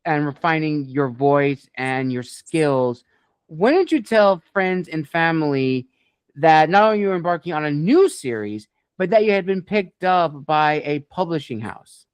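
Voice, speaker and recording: A man's voice: on the high side, expressive, measured pace, smooth, no echo. Male interview host, dry close sound. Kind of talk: structured interview questioning.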